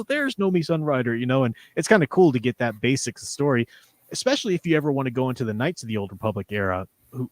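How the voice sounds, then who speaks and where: A man's voice: on the low side, no room sound. Enthusiastic, rambling man in his forties, close dry sound. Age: 40s. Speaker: livestream host. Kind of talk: casual fan discussion of comics and audio dramas.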